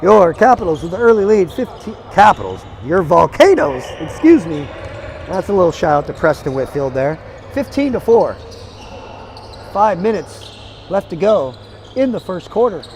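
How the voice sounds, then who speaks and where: A hoarse man calling a live basketball game play by play, energetic and chatty, climbing into excited calls. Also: sits high, moderate pace, a little hiss or hum. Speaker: play-by-play commentator. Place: arena broadcast position.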